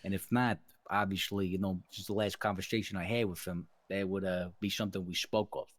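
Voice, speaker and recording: A man plays a mafia underling in an online roleplay. His voice is very low and closely recorded with little room sound, and he lays out business in winding, euphemistic wiseguy talk. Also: flat delivery, fairly quick, no room sound, close to an everyday voice. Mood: probing. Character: scheming, businesslike mobster underling reporting to boss. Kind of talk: improvised online crime roleplay.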